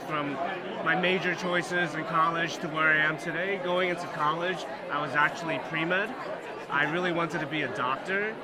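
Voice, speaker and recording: A young man in his twenties, a graduate student, answering interview questions earnestly with lots of "you know" fillers. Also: a little high. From Hong Kong, raised in New York. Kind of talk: casual interview. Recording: loud crowd behind. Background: crowd chatter.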